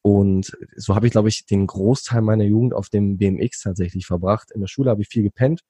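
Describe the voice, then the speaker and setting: A man's voice: fairly low pitch, fairly even intonation, a little fast, dark tone, slightly rough, no echo. A young man from Berlin, recounting his youth as an interview guest in a rambling way, with many fillers and restarts. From Berlin, Germany. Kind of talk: relaxed conversational storytelling.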